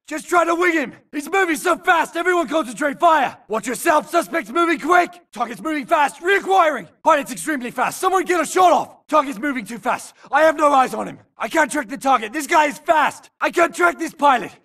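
An actor playing an urgent, alert infantry grunt in a video game, shouting clipped military callouts in battle. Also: male voice, very high voice, lively intonation, brisk, few pauses, recorded from a distance, heavily acted. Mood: urgent, frustrated. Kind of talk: shouted combat barks.

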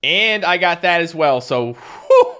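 A young man from Newfoundland talks through a mobile game at his desk, with a slightly high voice. His delivery is lively and swings widely in pitch. He yelps and cracks jokes as the game unfolds. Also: slow, clean tone. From Newfoundland, Canada. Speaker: gaming channel host. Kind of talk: casual let's-play commentary. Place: home recording room.

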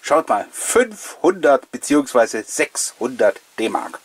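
A man's voice: mid-range voice, big pitch swings, takes it slowly, breathy edge. A middle-aged man, chatting enthusiastically and effusively to viewers as the host of a collectors' show. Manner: effusive, addressing viewers directly. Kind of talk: enthusiastic chatty presenting to camera.

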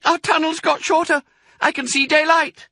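A man voicing a small, frightened fox child in a storybook, squeaky and panicky. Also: flat delivery, normal speed, pushed and bright, no room sound, exaggerated voice.

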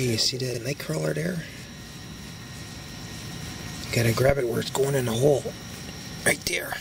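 A man picking nightcrawlers in his backyard at night, talking casually to a handheld camera as he works and getting excited over the big ones. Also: medium pitch, gravelly. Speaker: backyard gardener and angler. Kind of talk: casual narration while working. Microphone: handheld camera mic. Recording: noisy outdoor night recording.

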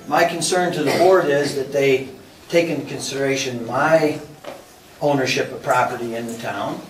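An older man from central New York giving a plain, unhurried public comment at a town hearing. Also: mid-range voice, soft background music. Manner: plain, unhurried, conversational with pauses. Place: community center hall, indoors. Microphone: podium mic from a distance. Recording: echoey hall, distant mic, faint noise.

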